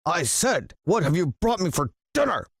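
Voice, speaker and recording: A woman's voice: fairly low pitch, sing-song, normal speed, husky, no room sound, clean studio sound. A woman voicing a gluttonous, cruel bearded man in a children's storybook, blustering and demanding as he bellows and mutters. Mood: bellowing, demanding.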